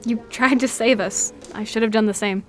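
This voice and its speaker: A woman's voice: on the high side, fairly even intonation, normal speed, faint music behind, natural voice. A woman playing a wistful war veteran and old flame in a science fiction radio drama. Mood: nostalgic. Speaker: audio drama actor.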